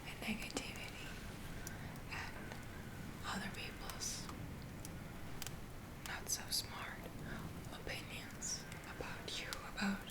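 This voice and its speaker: A woman doing a whispered ASMR roleplay as a gentle witch healer, soothing and reassuring in an unhurried manner. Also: fairly high pitch, low-quality recording. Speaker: ASMR performer.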